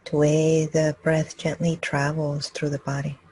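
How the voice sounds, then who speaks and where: A woman meditation teacher guiding a group gently and calmly, speaking in short, unhurried phrases with long pauses. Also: low voice, breathy edge, no room sound, poor audio. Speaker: meditation teacher leading a live group session. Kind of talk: guided meditation, slow and gentle instruction.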